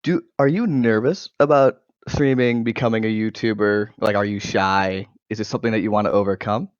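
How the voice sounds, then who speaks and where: A young American man with a fairly low voice chats casually as a podcast co-host. The recording is dry and somewhat dull, from a cheap gaming mic. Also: steady tone, a little fast, dry recording. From United States. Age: 20s. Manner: occasional stutter. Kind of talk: casual chat. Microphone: low-quality gaming microphone.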